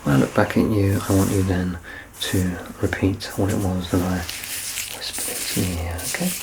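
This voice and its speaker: Man, ASMR doctor roleplay, reassuring, soft-spoken, creaky voice, noticeable hiss behind. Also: low voice, fairly even intonation, normal speed. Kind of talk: ASMR medical exam roleplay. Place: small curtained indoor room.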